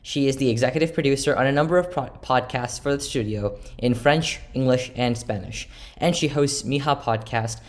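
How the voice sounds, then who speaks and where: A male interviewer politely delivering prepared introductions and questions in a formal manner. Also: mid-range voice.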